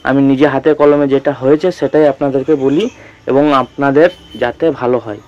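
A man's voice: medium pitch, fairly even intonation, normal speed, darkish tone, muffled recording. A man from West Bengal, a spice trader chatting casually as he tours a market and gives viewers advice, with frequent restarts. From West Bengal, India. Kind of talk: casual market-tour vlog.

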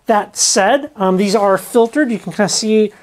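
A man's voice: high-pitched, moderate pace. Casual man explaining things. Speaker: host of a rockhounding channel. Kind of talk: casual explainer talking to camera. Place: cluttered indoor workshop. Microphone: lavalier clipped on shirt.

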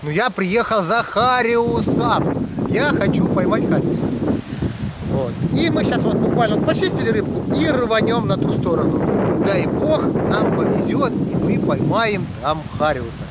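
A man's voice: high-pitched, animated, a little slow. A man in his thirties chatting casually as a fishing vlogger outdoors by a lake, recorded on a head-mounted action camera. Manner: chatty, explaining with lots of fillers. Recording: loud wind noise outdoors.

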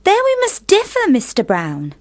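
An actress playing a devout, earnest, disapproving young sister in a period radio play, speaking formally and firmly. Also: female voice, very high-pitched, huge pitch swings, moderate pace, dry recording, full character voice. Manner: formal, firm.

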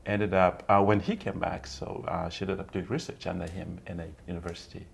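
A middle-aged man from Zambia with a low, gravelly voice. He talks casually and haltingly, full of ums, and laughs easily. He is recorded on a small mic in a room lined with books. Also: level tone. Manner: hesitant, many ums, laughs often. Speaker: guest, English teacher. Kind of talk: casual interview talk.

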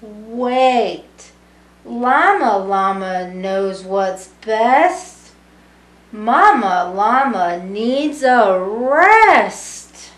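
A woman's voice: on the high side, very animated, very slow. A middle-aged woman reading a rhyming picture book aloud to young children in a singsong way, with little explanatory asides. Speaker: storybook reader, early childhood education student. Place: small indoor room with brick wall. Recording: roomy, echoing home recording.

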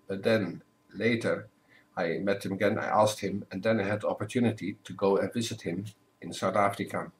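Low-voiced older man, measured. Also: fairly even intonation, slight background noise, poor audio. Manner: careful, measured, with self-corrections. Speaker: priest, guest. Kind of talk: relaxed interview answers. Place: home living room. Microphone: webcam at desk.

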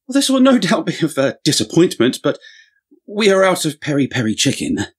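A husky young waiter acted by a man with a very swooping pitch. He is naive and nervous, awkwardly polite, as heard in an audiobook drama. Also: huge pitch swings, brisk, full character voice. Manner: awkward, hesitant, over-polite. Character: ingenuous, nervous, lanky young male waiter. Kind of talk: full-cast audiobook acting.

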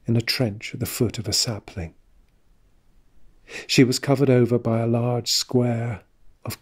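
A man narrating an audio drama in a measured, somber, literary storytelling style. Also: mid-range voice, a little mellow, breathy edge.

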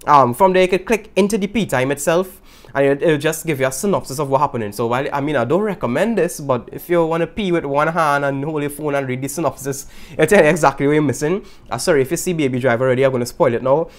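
Vlog host, young trini guy, quick talk, raised voice, lively, gestures and laughs. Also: fairly high pitch. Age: young adult. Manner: animated, gesturing, laughing asides. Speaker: YouTube host. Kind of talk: casual vlog talk to camera. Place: small indoor room with guitars.

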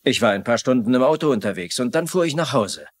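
A man's voice: mid-range voice, big pitch swings, quick pace. An actor playing a defensive, indignant male murder suspect in a dubbed TV mystery.

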